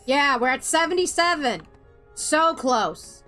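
A woman's voice: high-pitched, expressive, takes it slowly, pushed and bright, faint music behind. A woman streamer giving exasperated, ranting commentary while playing a game. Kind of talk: let's play commentary.

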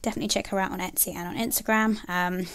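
A young woman chatting casually about planner stickers, soft and gushing, with many ums and likes. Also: medium pitch, fairly even intonation, very fast. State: has a bad cold, nasal and throaty. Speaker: planner sticker haul vlogger. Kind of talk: casual haul chat. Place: indoors at a table, filming her hands.

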